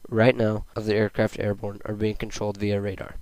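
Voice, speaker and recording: Even-toned young man reading a presentation. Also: lowish voice, brisk, dry recording. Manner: plain, read-aloud delivery. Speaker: student presenter. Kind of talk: scripted narration of a school presentation.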